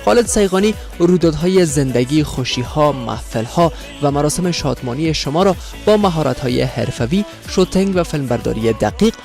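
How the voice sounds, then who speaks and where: A man with an Afghan accent delivers a formal advertisement read at a very rapid pace. He sounds like a polished announcer following a script, with music underneath. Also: on the high side, lively intonation, creaky, darkish tone. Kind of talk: scripted promotional narration.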